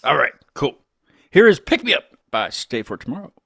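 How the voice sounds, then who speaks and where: A man's voice: on the high side, big pitch swings, normal speed, creaky, sharp tone, slightly rough, no echo, clean studio sound. A man hosting a live music show, chatting casually as he interviews a band.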